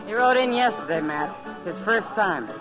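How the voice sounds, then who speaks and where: A woman's voice: medium pitch, lively intonation, moderate pace, lightly acted. Lady playing old west saloon gal, american, straight talking n worried, muffled old radio with music. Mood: concerned, insistent. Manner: frank, insistent. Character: frank, worldly, concerned frontier saloon woman. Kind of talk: old-time radio western acting. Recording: dull vintage radio recording with music.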